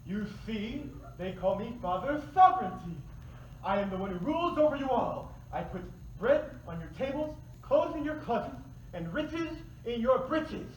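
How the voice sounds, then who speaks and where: Man playing a pompous serpent god, high-pitched, echoey. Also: big pitch swings, normal speed. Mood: imperious. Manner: pompous, commanding. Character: sinister, domineering male serpent spirit, a stern fatherly god. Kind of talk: staged play reading. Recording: echoey room, distant mic, faint noise.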